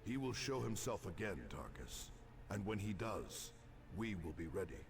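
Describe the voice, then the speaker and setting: An actor playing a stern space marine commander, authoritative and formal, in a sci-fi military game briefing. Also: male voice, deep voice, very animated, normal speed, distant mic, noisy background. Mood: resolute.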